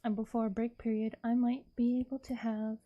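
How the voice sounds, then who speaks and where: A woman's voice: a little high, flat delivery, brisk, phone-quality sound. A woman chatting casually to her livestream viewers in a rambling, repetitive way. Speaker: streamer, artist and ASMR creator.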